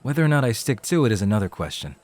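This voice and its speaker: A man's voice: on the low side, sing-song, rapid-fire, darkish tone, no echo. Man playing a hesitant rookie host, dry close sound. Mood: nervous, modest. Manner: hesitant, casual, a little awkward. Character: unsure, laid-back young male rookie club host. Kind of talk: scripted ASMR roleplay acting.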